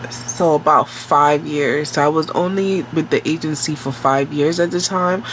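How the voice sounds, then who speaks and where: Slow nasal New storyteller. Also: mid-range voice, slight background noise, poor audio. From New York City. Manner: slow, rambling and conversational, with many fillers and self-corrections. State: nasal from a cold. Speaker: storytime vlogger. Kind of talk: casual storytime monologue.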